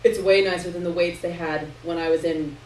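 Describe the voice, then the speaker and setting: A woman's voice: on the low side, steady tone, brisk, darkish tone, lightly acted. Woman reading as creepy smug man, roomy recording. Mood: flat, lazy. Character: crude, creepy, smug ex-convict stepfather, adult man. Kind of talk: literary story reading, character dialogue. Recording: roomy distant live recording, noticeable hiss.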